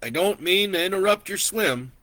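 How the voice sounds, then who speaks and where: A man's voice: high voice, expressive, normal speed, bright, forceful tone, no echo, pitched above the performer's own voice. A man voicing an easygoing, welcoming older landowner who rides a horse on his land, in a spoken story. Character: friendly older male horse-riding landowner.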